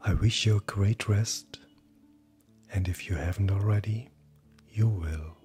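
A man speaking as a hypnotherapist, guiding sleep hypnosis in a calm, gentle, breathy voice that often nears a whisper. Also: on the low side, a little slow. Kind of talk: guided hypnosis, soothing scripted narration. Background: soft background music.

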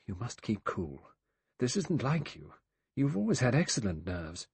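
A man's voice: mid-range voice, very animated, a little slow, slightly rough, dry recording, exaggerated voice. A man voicing a nervous young woman who is fighting for composure in an audiobook, hesitant and anxious. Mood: self-scolding.

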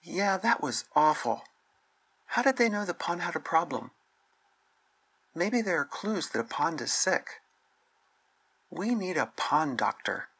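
A man's voice: fairly high pitch, sing-song, a little slow, pauses often, a bit bright, slightly rough, full character voice. A man voicing a friendly fifth-grade schoolboy in a scripted children's puppet show. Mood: earnest.

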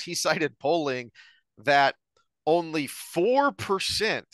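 An American man co-hosting a podcast, discussing ideas earnestly in a deliberate, emphatic way. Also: mid-range voice, fairly bright tone, no echo. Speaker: podcast co-host. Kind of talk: earnest discussion of politics and philosophy.

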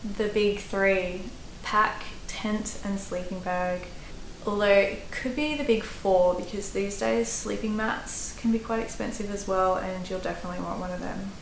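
A woman's voice: medium pitch, steady tone, unhurried. An Australian woman in her thirties giving casual, practical hiking-gear advice to camera, chatty with fillers, recorded in a small room. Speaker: hiking vlogger. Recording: roomy echo, faint background noise.

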